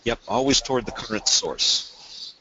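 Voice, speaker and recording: A man's voice: medium pitch, a little slow, sharp tone, slight background noise, low-quality recording. A man presenting a technical webinar, explaining things casually and asking questions.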